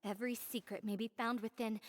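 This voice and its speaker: A woman's voice: on the high side, fairly even intonation, fairly quick, natural voice. An actress playing a frightened young woman who pleads anxiously but stays formally polite.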